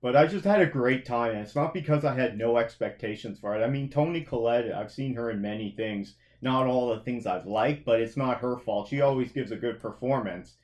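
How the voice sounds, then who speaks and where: A man's voice: mid-range voice, fairly quick, few pauses, darkish tone, lo-fi sound. A man from Canada, co-hosting a movie and TV review podcast in a casual, rambling way, often restarting his sentences. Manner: rambling, self-correcting, chatty with frequent restarts.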